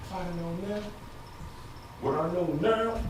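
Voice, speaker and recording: An actor playing an anguished, frustrated husband in an emotional theatrical stage monologue that moves between quiet confiding and loud outbursts. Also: male voice, high voice, measured pace. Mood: wistful, regretful. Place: stage in a large indoor room. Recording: echoey stage, distant mic, noisy.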